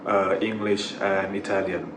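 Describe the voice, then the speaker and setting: Echoey, slow-spoken Thai man. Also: low-pitched, flat delivery. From Thailand. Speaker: interview guest. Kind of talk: casual chat. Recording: echoey room with clear background noise.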